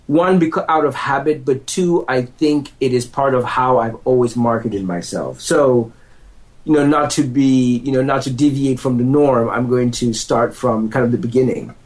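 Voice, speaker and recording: A man in his thirties, mid-pitched, talks loosely and laughs at himself as a podcast guest. He restarts sentences often and rambles a bit before getting to the point. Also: moderate pace, darkish tone. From United States. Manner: self-deprecating, laughs easily, rambling restarts. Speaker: podcast guest, lawyer and entrepreneur. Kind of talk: relaxed conversational interview. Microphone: handheld microphone.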